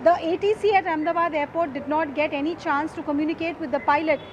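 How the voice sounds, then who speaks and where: A woman's voice: high-pitched, fairly even intonation, fast, muffled recording. A woman field reporter with an Indian accent, explaining emphatically in a live report from outdoors. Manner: emphatic, explanatory, rhetorical questions. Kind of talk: live on-location news reporting. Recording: clearly noisy outdoor urban field audio.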